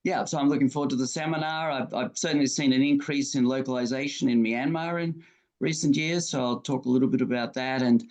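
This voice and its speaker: A man's voice: medium pitch, steady tone, a little fast, darkish tone. Guy on a panel call, chill self-intro, some um's and uh's. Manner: casual, with fillers. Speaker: panelist, development finance advisor.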